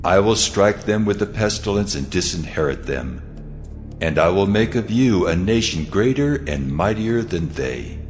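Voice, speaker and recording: An actor playing an angry, commanding God in a solemn, declamatory audio Bible drama. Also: male voice, sits low, expressive, normal speed, faint music behind. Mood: wrathful. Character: God, angry, commanding, majestic male deity.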